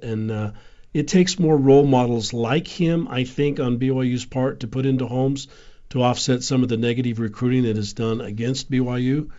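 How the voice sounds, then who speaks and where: A man speaking as a sports columnist guest, giving measured opinions in a studio discussion. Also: medium pitch, a little mellow, muffled recording.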